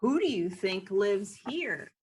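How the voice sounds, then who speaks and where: An inquisitive young schoolgirl in a children's storybook , read by a woman. The voice is eager and wondering, pitched up from plain narration. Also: sing-song, takes it slowly, slight background noise. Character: inquisitive, excited young schoolgirl exploring the forest.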